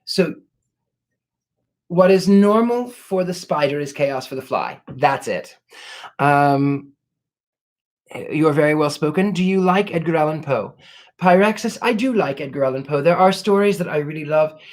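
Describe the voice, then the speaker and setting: A man hosting a casual livestream, answering viewer questions in a courtly, gracious way, thanking people warmly and pausing to restart his sentences as he searches for words. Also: on the high side, animated. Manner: reflective, many restarts while searching for words. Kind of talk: casual live Q&A chat.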